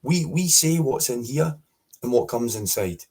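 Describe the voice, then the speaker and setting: A Scottish man speaking forcefully as a guest in a political interview, repeating his points with emphasis. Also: medium pitch, expressive, unhurried, breathy edge. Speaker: podcast guest.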